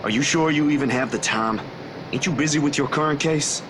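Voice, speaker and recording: A man's voice: a little high, brisk, noisy background, lightly acted. An actor playing a laid-back young man in a visual novel game, an uneasy but loyal friend who talks informally and a little hesitantly. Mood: skeptical. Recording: music behind the voice.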